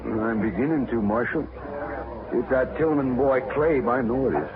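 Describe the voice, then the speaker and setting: A man's voice: medium pitch, normal speed, crowd noise, close to an everyday voice. A man playing a surly hotheaded rancher. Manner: blunt, rough backcountry grammar. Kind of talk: 1950s radio drama acting. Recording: tinny old radio broadcast in a noisy saloon.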